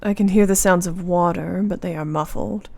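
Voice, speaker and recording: Woman as an earnest gentlewoman, creaky voice. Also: on the low side, steady tone, normal speed. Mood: entranced. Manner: earnest, formal period phrasing. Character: earnest, brave young married gentlewoman under a vampire's curse. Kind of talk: radio play acting.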